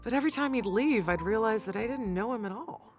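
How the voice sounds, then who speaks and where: An actress playing a poised, wistful young woman bartender in a video game, with measured, reflective phrasing. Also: fairly high pitch, expressive, over music, natural voice. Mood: pensive. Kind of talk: game voice acting, slow and dramatic.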